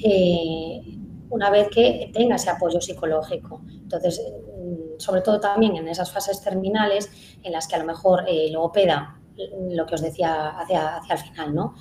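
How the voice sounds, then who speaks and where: Speech therapist, woman, lowish voice, hesitant, roomy echo. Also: fairly even intonation, normal speed, mellow. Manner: hesitant, many fillers. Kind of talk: live conference Q&A. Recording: echoey room, faint noise.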